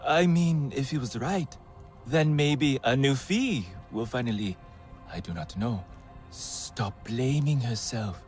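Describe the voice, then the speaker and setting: A man playing a young alien researcher in a video game, earnest and eager, talking in a halting, animated way. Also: medium pitch, soft background music, natural voice. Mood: flustered, earnest. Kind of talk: video game character acting.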